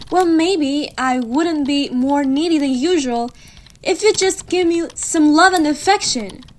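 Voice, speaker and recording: A woman's voice: very high-pitched, heavily acted. A woman voicing a needy, bossy, playful wolf girlfriend in an intimate roleplay audio, pouty and teasing as she speaks straight to the listener. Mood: exasperated, pouty. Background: computer keyboard typing.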